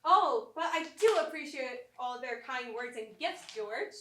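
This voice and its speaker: A woman acting as a bereaved mother with a high, bright voice, wounded and bitter toward her husband. Her stage-play delivery is sharp and accusing, heard from afar in an echoey theatre. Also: animated, a little slow, distant mic, a little hiss or hum. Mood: bitter sarcasm. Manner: sarcastic, accusatory, dramatic delivery. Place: small theatre, live stage performance.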